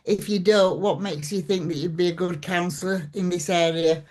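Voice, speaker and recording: A woman's voice: lowish voice, steady tone, moderate pace, a little mellow. Woman chairing a local meeting. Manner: informal, hesitant with fillers. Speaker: hustings chair.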